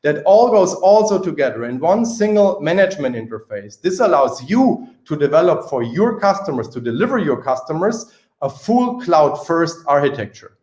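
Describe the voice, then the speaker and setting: Echoey, higher-voiced male sales presenter. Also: very animated, normal speed, a little mellow. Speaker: company presenter. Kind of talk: sales pitch and question answering. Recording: roomy, echoing sound.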